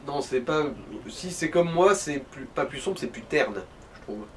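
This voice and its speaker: A man's voice: on the high side, lively intonation, measured pace. A man chatting casually as a let's play co-host, wry and given to swearing. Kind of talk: casual gaming chat. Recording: distant mic with background noise.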